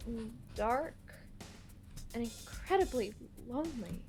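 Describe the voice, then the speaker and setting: A woman's voice: a little high, expressive, slow pace. A woman in a game fan dub voicing an earnest, emotional teenage girl. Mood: sad, tender. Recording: music clearly behind the voice.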